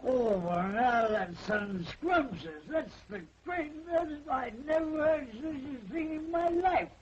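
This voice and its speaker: A woman in an old crime film playing an excitable, indignant character with a drawl. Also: high voice, takes it slowly. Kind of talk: 1930s film acting. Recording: muffled, noisy old film soundtrack.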